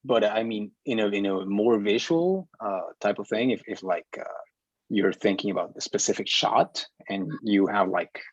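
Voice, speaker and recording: A man speaking as an instructor in a casual discussion, halting and often repeating words, on a muffled online call. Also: on the low side, breathy edge, dry recording. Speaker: film instructor leading a class discussion. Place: online group video meeting.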